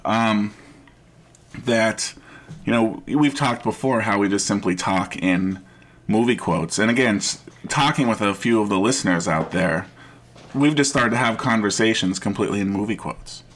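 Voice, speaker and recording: A man co-hosting a casual podcast chat, with a gruff voice and a stammering, hesitant way of talking. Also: on the low side, normal speed, fairly bright tone. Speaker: podcast co-host.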